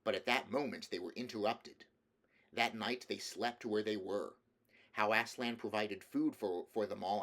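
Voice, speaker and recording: Guy reading a story book out loud, deep-ish voice, audiobook narration. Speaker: audiobook reader.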